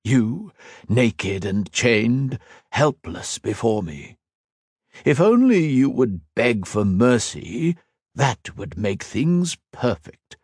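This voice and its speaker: A gloating, cruel emperor speaks in a raised, grandiose voice, mocking and taunting his captive, as a male audiobook narrator puts him on. His words come loud and lofty, dripping with scorn. The sound is close and dry. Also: mid-range voice, very animated, takes it slowly, husky, no room sound, pitched above the performer's own voice, exaggerated voice.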